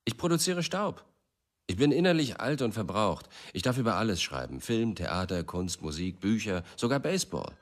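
A young, world-weary writer in a radio play. The voice sits low but swings widely in pitch, giving his lines a theatrical lift. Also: sing-song, moderate pace. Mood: sardonic, weary. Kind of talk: German radio drama acting.

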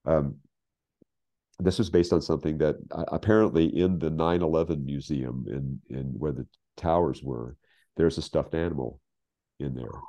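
American male, 60s, very low dark voice, halting emphatic storyteller, casual conversation. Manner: halting, emphatic, repeats phrases. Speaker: guest, scriptwriter. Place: home office, video call.

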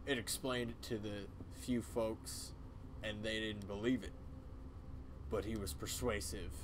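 A man's voice: lowish voice, steady tone, unhurried, background noise, lightly acted. A man voicing a grim, self-righteous old small-town cult elder with a folksy way of talking.

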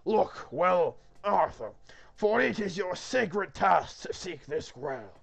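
A man acting out God in a comic script, loud and impatient. The delivery is grand and declamatory, with a lively, swooping pitch and weighty, commanding phrases. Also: takes it slowly, creaky, exaggerated voice. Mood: solemn, grand. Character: booming, irritable God handing down a sacred quest.

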